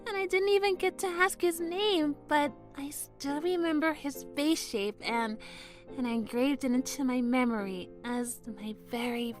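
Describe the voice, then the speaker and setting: A woman's voice: high-pitched, measured pace, soft background music. A woman voicing a melodramatic, lovestruck teenage girl who sighs and stammers when flustered, in an anime-style romcom manga dub. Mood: wistful.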